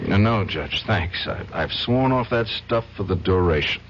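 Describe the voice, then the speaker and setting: An actor playing a troubled young defense lawyer in a radio drama, hesitant and stammering, emotionally charged. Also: male voice, sits low, lively intonation, measured pace. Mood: earnest. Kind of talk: 1970s radio drama acting. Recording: dull old radio broadcast, faint hiss.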